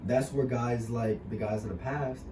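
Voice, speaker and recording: A young man, interviewing a fellow wrestler in a relaxed, talkative way with many fillers. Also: medium pitch, moderate pace. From New York. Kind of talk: casual interview. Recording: far-off echoey room with noise.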